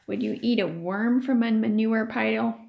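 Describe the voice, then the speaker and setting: A woman's voice: on the high side, normal speed, gravelly, a little mellow. A woman voicing a stubborn, indignant young boy who protests emphatically in a children's storybook. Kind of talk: children's storybook read aloud.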